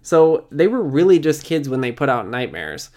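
A man's voice: on the high side, big pitch swings, moderate pace, a little mellow. A man hosting a music history series, narrating a scripted video essay in informal, conversational phrasing.

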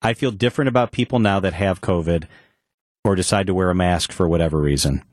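A man's voice: low-pitched, quick pace, dry recording, clean studio sound. A middle-aged man hosting an afternoon radio talk show, telling a personal story in a candid, conversational way. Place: radio studio. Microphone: studio microphone.

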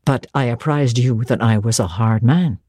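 A woman voicing a stern, cold young male clergyman who speaks in a grave, dry, measured way. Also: very deep voice, mellow, slightly rough, dry recording, pitched below the performer's own voice. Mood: stubborn.

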